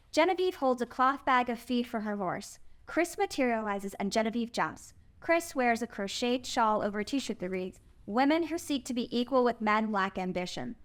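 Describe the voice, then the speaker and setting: A woman narrating the stage directions of a screenplay at a table read. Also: on the high side.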